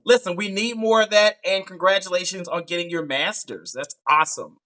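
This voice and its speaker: Male dating show host, bright and edgy tone, teasing and jokey, video call. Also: high voice, brisk, low-quality recording. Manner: playful, teasing, laughs often. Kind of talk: live online dating show hosting.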